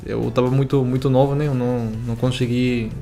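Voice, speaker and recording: A nineteen-year-old man from Venezuela, a Spanish native speaker with a Venezuelan accent, telling his story as a songwriter in a relaxed, halting way full of fillers. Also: mid-range voice, gravelly, faint music behind. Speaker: young songwriter. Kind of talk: casual interview answers.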